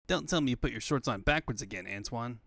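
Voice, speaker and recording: A man's voice: medium pitch, expressive, fast talker, gravelly, fairly bright tone, dry recording, clean studio sound, pitched below the performer's own voice. A man voicing a brash, slangy young male hedgehog hero in a comic fandub. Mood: cocky. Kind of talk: comic fandub character acting.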